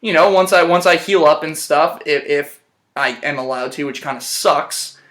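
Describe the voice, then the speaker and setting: Brief: young man, slightly high voice, relaxed rambling talker, slangy and filler-heavy, phone camera in a hospital room. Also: moderate pace. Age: young adult. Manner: laid-back, rambling, slangy with lots of fillers. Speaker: interviewee. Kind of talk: casual chat. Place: hospital room, lying in bed.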